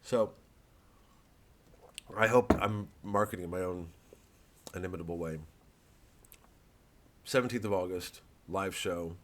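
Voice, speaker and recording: A middle-aged man, a comedian, talking in a rambling, sardonic monologue that swings into loud, mocking asides. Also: deep voice, measured pace, long pauses, slight background noise. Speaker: comedian promoting his work.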